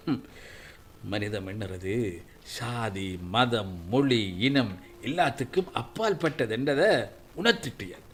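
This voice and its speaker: An actor playing a remorseful older father in a radio drama, speaking slowly and heavily. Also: mid-range voice, a bit bright. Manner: slow, heavy, contrite speech.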